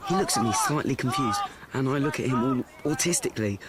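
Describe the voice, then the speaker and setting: A man's voice: medium pitch, wildly swooping pitch, a little fast. An actor playing an anxious, awkward schoolboy who narrates his woes in a rambling radio play monologue, correcting and repeating himself. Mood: flustered. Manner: rambling, repetitive, self-correcting.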